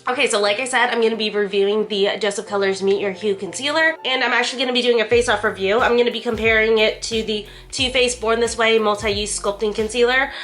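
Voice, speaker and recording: A woman's voice: a little high, fairly even intonation, fast talker, few pauses, a bit bright. A young woman talks through a makeup review in a loose, chatty way, leaning on "like" as she goes. Her voice is stuffy and nasal from a cold, and faint music plays behind her. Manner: chatty, lots of "like" fillers. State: congested and nasal from the flu. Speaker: beauty vlogger. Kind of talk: casual product review.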